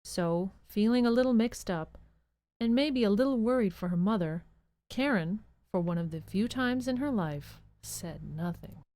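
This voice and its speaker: A woman narrating an audio drama as a gentle, earnest storybook storyteller. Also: mid-range voice, expressive, moderate pace, close to an everyday voice. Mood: gentle storytelling. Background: music.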